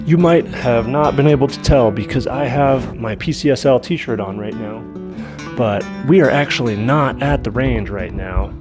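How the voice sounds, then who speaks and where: A man's voice: mid-range voice, moderate pace, over music. A man coming down with a cold, recording at home and explaining his competition gear in plain, matter-of-fact detail. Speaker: vlogger.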